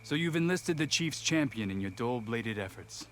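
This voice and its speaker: An actor playing a proud, suspicious village leader with an accusing, declamatory manner in a video game. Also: male voice, medium pitch, lively intonation, normal speed, a bit bright, slight background noise, lightly acted. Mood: scornful. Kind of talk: video game cutscene acting.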